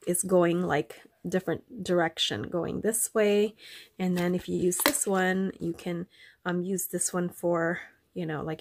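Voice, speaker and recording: Slow-talking woman with a nasal, stuffy voice. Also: medium pitch. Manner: rambling, many ums, warm and chatty to viewers. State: stuffy from allergies. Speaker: craft vlogger. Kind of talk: casual show-and-tell chat.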